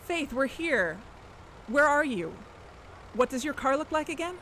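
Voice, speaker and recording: A woman's voice: high-pitched, sing-song, unhurried, fairly bright tone, slight background noise, heavily acted. A woman playing a dogged, impatient investigator in a podcast audio drama. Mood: urgent. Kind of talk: audio drama acting with narration.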